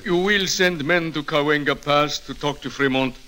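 An actor playing an earnest, idealistic Californio peacemaker, persuading in a declamatory 1940s radio style. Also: male voice, on the high side, moderate pace, pushed and bright. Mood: earnest, persuasive. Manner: impassioned, declamatory. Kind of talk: 1940s radio drama acting.

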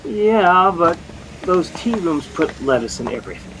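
A grumbling, hapless husband in a vintage radio comedy. The voice is low and animated, full of petty complaint. Traffic noise is clearly audible. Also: female voice, a little slow. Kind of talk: old-time radio sitcom acting.

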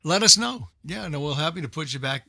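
A man's voice: fairly high pitch, expressive, brisk, gravelly, bright, forceful tone, no room sound. A man hosting a radio show in casual panel chat, with dry, bantering remarks.

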